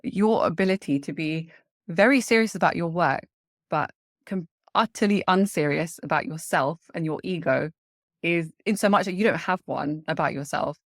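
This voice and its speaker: A woman's voice: low-pitched, normal speed. A woman hosting a podcast, talking in an eager, earnest and encouraging way. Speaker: podcast host. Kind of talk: casual interview and spoken intro.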